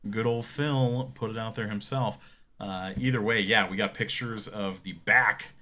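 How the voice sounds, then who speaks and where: A man's voice: lowish voice, measured pace, pushed and bright. A man co-hosting a podcast, chatting casually about tech in an opinionated, explanatory way. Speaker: podcast co-host. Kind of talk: casual gaming tech discussion.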